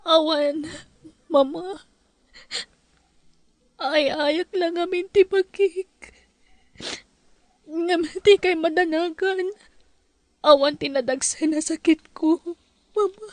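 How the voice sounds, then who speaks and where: Woman acting a high, slow, sorrowful Ilocano daughter. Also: dry recording. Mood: subdued, evasive. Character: ailing, sorrowful young married daughter hiding her illness. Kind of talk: radio-style Ilocano drama acting. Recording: music behind the voice.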